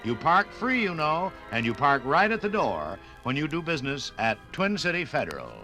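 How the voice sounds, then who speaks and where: A man's voice: a little high, sing-song, moderate pace, bright, forceful tone, soft background music. A man reading a folksy, conversational sponsor message in a 1950s radio style.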